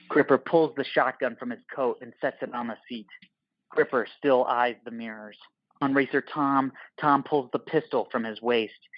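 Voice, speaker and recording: A man's voice: mid-range voice, no echo, highs cut off. A man narrating a scripted audio play in the role of narrator.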